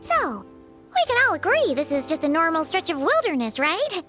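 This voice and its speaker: A tiny, childlike game fairy with a very high, squeaky voice. She is perky and excitable, her pitch bouncing around with a bright, piercing edge. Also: moderate pace, soft background music, full character voice. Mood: curious, puzzled. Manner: refers to self in third person, perky. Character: small excitable childlike female fairy companion. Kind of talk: video game voice acting.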